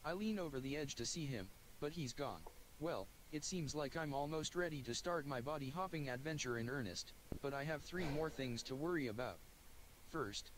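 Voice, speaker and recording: A computer-generated male voice narrating a visual novel in a flat, even reading. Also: a little high, slight background noise, rough recording. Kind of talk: text-to-speech game narration.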